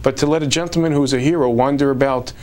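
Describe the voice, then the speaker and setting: Measured, formal male lawyer. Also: mid-range voice, steady tone, a little mellow. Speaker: immigration lawyer. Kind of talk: TV interview.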